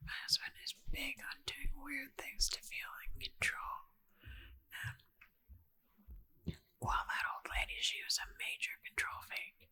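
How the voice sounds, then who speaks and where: Slow, whispering woman in ASMR style. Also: sits high, completely flat delivery, sharp tone, rough recording. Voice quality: breathy, unvoiced whisper. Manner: conversational, anecdotal, unhurried. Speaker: ASMR host. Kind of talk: whispered ASMR ramble, casual chat.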